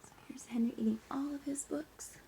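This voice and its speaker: A woman teacher reading a picture book aloud to children, with expressive emphasis, drawn-out words and hushed asides. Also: high voice, vocal fry, a little hiss or hum, poor audio.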